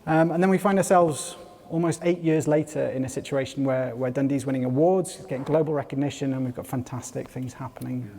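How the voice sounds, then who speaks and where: A man with a softened Geordie accent, speaking as a panel guest in a measured, explanatory way with many ums. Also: mid-range voice. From North East England. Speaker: panel guest, company head. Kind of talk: panel talk. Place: large hall with an audience. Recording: long hall reverb.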